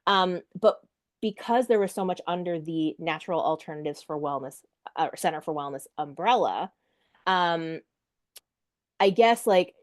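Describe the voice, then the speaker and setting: A woman with a notably low voice hosts a relaxed podcast interview. She offers frequent supportive murmurs and loose, filler-filled questions as she chats with her guest. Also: moderate pace, dry recording, studio quality. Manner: warm and affirming, with many "mm-hmm" and fillers. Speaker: podcast host. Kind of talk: casual interview chat.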